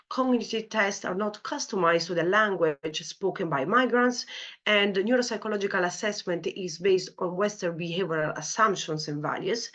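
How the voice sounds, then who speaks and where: A woman's voice: lowish voice, fairly bright tone, muffled recording. A woman with an Italian accent, a senior lecturer in clinical psychology, presenting a conference talk with many "you know, like" fillers. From Italy. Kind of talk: academic conference talk with slides.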